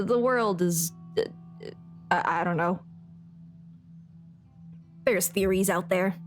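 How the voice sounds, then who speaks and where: A woman streaming a video game, chatting casually in a playful, giggly, rambling way. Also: mid-range voice. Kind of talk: casual livestream chat while playing a game.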